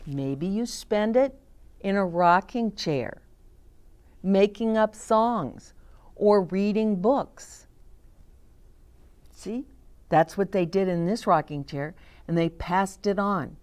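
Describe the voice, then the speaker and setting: An older woman reading aloud to children and adding chatty asides, gently explaining things to her young listeners. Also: mid-range voice, slow pace, long pauses.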